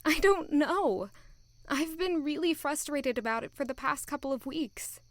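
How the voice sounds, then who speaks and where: A woman's voice: high-pitched, measured pace, no room sound. A young woman acting an anxious, lovelorn servant girl in an audio drama, earnest and plaintive. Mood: hurt, frustrated.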